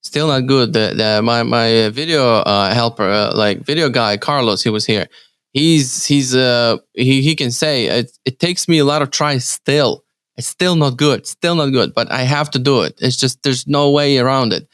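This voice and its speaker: A man from Ukraine speaking English as his second language with a Ukrainian accent, answering interview questions in a halting way with many restarts and fillers. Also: medium pitch, fairly bright tone, clean tone, dry recording, clean studio sound. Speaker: real estate team leader, guest.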